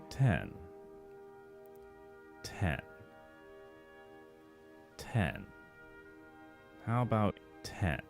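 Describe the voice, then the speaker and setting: Very deep, gloomy cartoon shopkeeper. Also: male voice, vocal fry, full character voice. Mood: terse haggling. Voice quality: droning, Squidward-like tone. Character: gloomy, weary male shopkeeper forcing out some pep. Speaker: voice actor recording takes. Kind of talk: animated cartoon voice acting.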